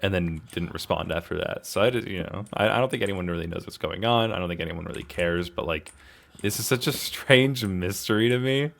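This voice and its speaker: A man talks his way through a game. His delivery is casual and slangy, with self-deprecating asides and exclamations, as if thinking out loud for his viewers. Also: deep voice, a little fast, vocal fry. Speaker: gaming video host. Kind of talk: casual gameplay commentary, talking to himself.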